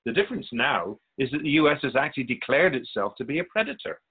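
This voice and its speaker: Husky male spirit guide, played by an actor, muffled line. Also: medium pitch, sing-song. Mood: grave. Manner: measured, philosophical, gently admonishing. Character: Keeper of Souls Purpose, wise, wry otherworldly spirit guide. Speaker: character answering an interviewer in a radio drama. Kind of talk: radio drama dialogue in interview form.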